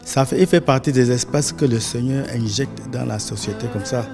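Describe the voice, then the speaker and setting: Man, average pitch, unscripted interview testimonial. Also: normal speed, vocal fry, darkish tone, in a large hall, soft background music. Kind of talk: spontaneous testimonial.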